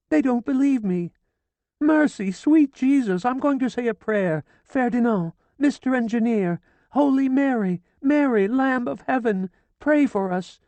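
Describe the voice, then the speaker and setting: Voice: dark tone, no room sound. A reader voicing a frantic, grieving older woman who pleads and nearly screams, in an audiobook. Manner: shrill, pleading, near screaming. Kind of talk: audiobook character voice.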